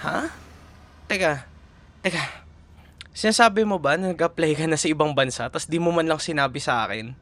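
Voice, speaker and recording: A man's voice: on the high side, moderate pace, long pauses, a bit bright. A man playing a young Filipino boyfriend, tender and proud, in an intimate audio roleplay, with a Filipino accent. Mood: hurt, frustrated. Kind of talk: ASMR boyfriend roleplay drama.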